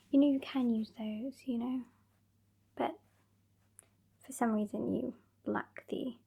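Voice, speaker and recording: Woman playing a whispery, slow, sassy British vampire. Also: a little high, mellow, exaggerated voice. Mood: mocking, grudging. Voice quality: breathy, whispery. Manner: slow, condescending, withering. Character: sassy, haughty, impatient female vampire scolding her weakling fledgling. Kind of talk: ASMR roleplay, whispered and soft-spoken.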